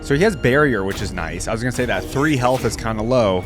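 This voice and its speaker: A man's voice: medium pitch, lively intonation, quick pace, music in the background. A man hosting a gaming reaction video, chatting informally and thinking aloud as he reads game text and comments on it.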